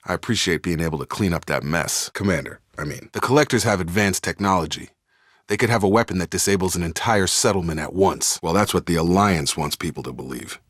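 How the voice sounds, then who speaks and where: An actor playing a loyal, guarded soldier in a video game, speaking in frank, clipped, informal military talk. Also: male voice, sits low, fairly quick, a bit bright, dry recording, studio quality. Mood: guarded, matter-of-fact. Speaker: soldier squadmate. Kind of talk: video game character dialogue.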